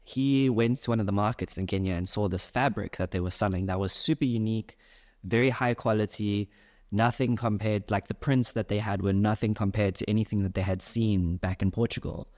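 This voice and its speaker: A man's voice: lowish voice, steady tone, brisk, darkish tone. A young man from South Africa hosting a casual podcast interview, explaining his ideas at length. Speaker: podcast host.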